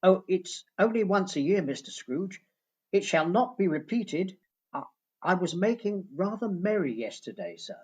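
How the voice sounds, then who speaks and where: A man playing a timid, apologetic clerk in a radio play, with a husky voice and a nervous, deferential way of speaking. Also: sits high, sing-song, normal speed, darkish tone, exaggerated voice. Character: meek, apologetic clerk, timid male underling.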